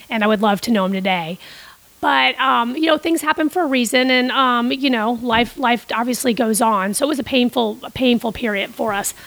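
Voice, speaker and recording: A woman's voice: a little high, a bit bright, poor audio. A middle-aged woman who grew up in Costa Rica, telling long stories as a podcast guest with many restarts and fillers. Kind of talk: casual interview storytelling.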